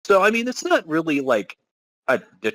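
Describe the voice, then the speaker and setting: A man's voice: on the high side, very animated, moderate pace, fairly bright tone, dry recording, poor audio. A man hosting a podcast, giving a dramatic reading with casual, wry asides. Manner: wry, self-interrupting commentary. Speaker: podcast host.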